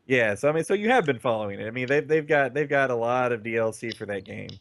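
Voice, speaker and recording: A man's voice: medium pitch, expressive, fast talker, a bit bright, a little hiss or hum. A man with a stuffy nose rambling casually about games on a podcast, full of fillers and restarts. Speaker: podcast co-host. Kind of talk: casual gaming chat.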